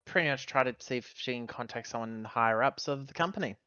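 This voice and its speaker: A man with a smooth, mid-pitched voice takes part in a casual roleplaying session. He is wordy and a little halting as he says what his character does. The recording is dry and somewhat dull. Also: pushed and bright, no echo. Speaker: player in a tabletop game, voicing a female character.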